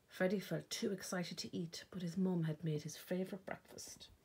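An Irish woman reading a children's picture book aloud expressively, with dramatic pauses and emphasis. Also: on the low side, steady tone, warm tone, breathy edge. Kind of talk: storytime read-aloud narration.

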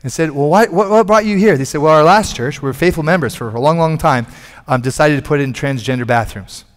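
A man in his forties, a pastor preaching a sermon from a church stage on a headset mic, animated and conversational, with frequent restarts. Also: fairly high pitch, fairly quick. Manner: animated, moving about the stage and gesturing.

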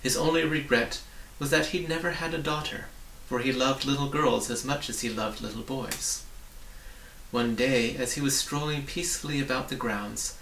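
A man in his forties reading a storybook aloud in a measured, expressive way. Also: medium pitch, creaky. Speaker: reader. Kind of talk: storybook narration, read aloud. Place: small book-lined home study, indoors. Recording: roomy, distant mic with faint noise.

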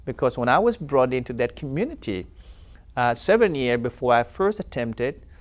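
A young man whose first language is Dinka speaks in a TV studio, telling his story earnestly. He pauses often and fills gaps with "uh" and "um". The sound is clean from a clip-on mic. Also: medium pitch, very animated. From South Sudan. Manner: earnest, halting, with many fillers. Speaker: interview guest. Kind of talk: personal testimony in a TV interview. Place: TV studio set. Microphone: lapel mic.

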